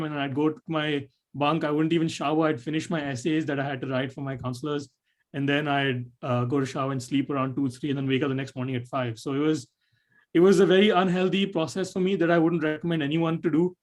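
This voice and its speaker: A man's voice: a little high, steady tone, quick pace. Rambling young man on a video call. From Nepal. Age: young adult. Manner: rambling, lots of fillers and restarts. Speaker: admitted student panelist. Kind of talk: webinar answer, casual.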